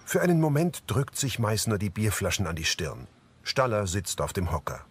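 Low-voiced man narrating audio description. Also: very animated, moderate pace, background noise. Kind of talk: scripted present-tense scene narration. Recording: music behind the voice.

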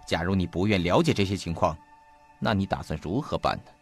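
A man acting in an audio drama as an earnest investigator, speaking in a formal, deliberate and detailed storytelling manner. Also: low voice, expressive. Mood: indignant. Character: earnest male investigator recounting a mysterious disappearance. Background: faint background music.

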